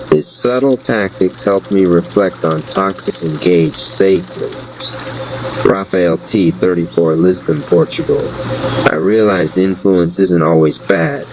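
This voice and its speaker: Low-voiced guy reading promo copy, even announcer read, noisy background. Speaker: narrator. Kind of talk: scripted promotional narration. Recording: clear steady background noise.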